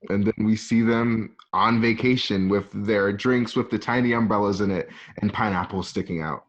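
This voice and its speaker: Low-pitched man reading stage directions. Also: steady tone, normal speed. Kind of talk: scripted narration of a screenplay table read. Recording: dull, lo-fi home recording.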